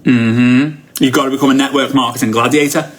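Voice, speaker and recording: A man with a Liverpool accent giving a motivational online training talk as a coach, excitable and emphatic, repeating phrases for effect. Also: medium pitch, brisk. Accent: Liverpool English. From Liverpool, United Kingdom. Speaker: network marketing coach.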